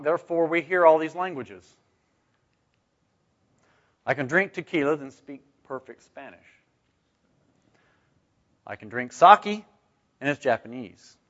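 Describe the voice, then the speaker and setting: Higher-pitched man teaching Bible study. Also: fairly bright tone. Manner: conversational, rhetorical questions, asks "right?". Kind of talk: informal scripture teaching to a group. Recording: dull, muffled lo-fi recording.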